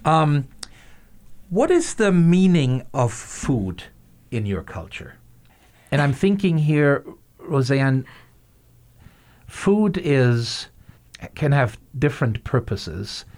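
A man's voice: mid-range voice, very animated, slow pace, pauses often, a little mellow. A man hosting a podcast interview, speaking in a thoughtful way and often searching for his words. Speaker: host.

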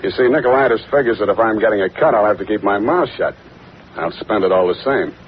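An American actor playing a tough, wisecracking private detective, clipped and deadpan, in 1940s radio style. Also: male voice, lowish voice, quick pace, a little hiss or hum, lightly acted. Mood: dry, businesslike. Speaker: lead actor and narrator. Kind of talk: 1940s radio detective acting, hard-boiled narration. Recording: muffled old radio broadcast, loud music.

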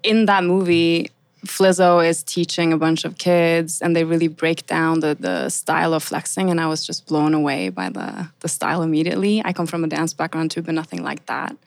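Female dance teacher guest; measured, low-pitched, dry sound. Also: creaky, dry recording. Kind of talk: casual interview chat.

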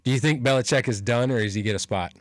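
A man's voice: medium pitch, quick pace, dry recording. A man hosting a radio sports show, chatting casually, joking and reading out local event listings in a studio. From New Hampshire.

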